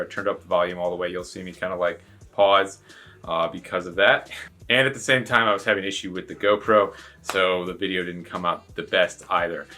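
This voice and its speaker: A man's voice: sits low, pushed and bright. A man giving a casual, chatty, rambling explanation as a rally driver introducing his video.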